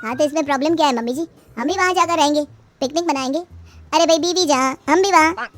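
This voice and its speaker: A woman's voice: sits high, quick pace, fairly bright tone, no room sound. A woman voicing a lazy, greedy young son-in-law in a story, wheedling and eager. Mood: flattering, eager. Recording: music behind the voice.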